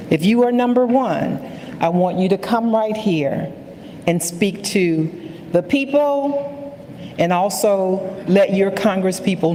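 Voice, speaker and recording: Woman, event host, swooping intonation, slow delivery, informal, echoey hall. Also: mid-range voice, dark tone. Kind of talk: informal emceeing to an audience. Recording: noisy, reverberant hall recording.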